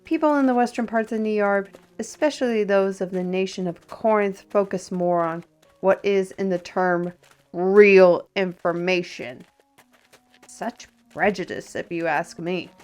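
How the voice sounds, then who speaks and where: A woman's voice: medium pitch, fairly even intonation, unhurried, darkish tone, faint music behind, lightly acted. A proud and chatty female magical steward, acted by a woman in a fantasy audio play. She speaks in a mid-range voice with a theatrical, opinionated manner, spinning out long wordy thoughts. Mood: dismissive, opinionated. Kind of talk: fantasy audio roleplay monologue.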